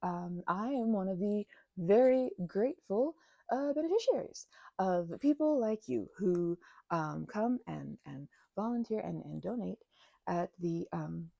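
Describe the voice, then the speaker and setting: A woman playing a timid, apologetic and grateful vampire in an audio roleplay, stammering and halting nervously in a soft, breathy voice. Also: mid-range voice, animated, dry recording. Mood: shy, earnest. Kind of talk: audio roleplay addressed to the listener.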